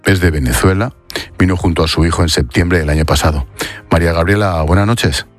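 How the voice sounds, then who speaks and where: A man presenting the news in a measured, formal way and interviewing a guest live. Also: sits low, faint music behind.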